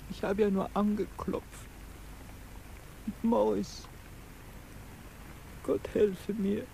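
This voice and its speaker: An actress playing a proud, lonely aunt broken by envy in a 1950s radio drama, weak and imploring. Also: female voice, mid-range voice, creaky, mellow. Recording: faintly noisy old radio recording.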